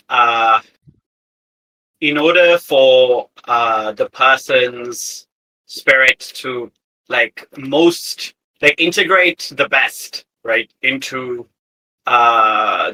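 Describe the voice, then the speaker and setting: A man's voice: medium pitch, bright, forceful tone, poor audio. A man playing a tabletop role-playing game, chatting casually and thinking aloud as he improvises details in short, hesitant bursts. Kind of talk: casual improvised worldbuilding chat.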